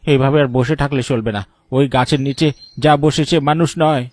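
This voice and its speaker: A man playing a worried, urgent village man in an animated horror story, speaking anxiously. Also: medium pitch, moderate pace, no room sound, pitched above the performer's own voice, close to an everyday voice.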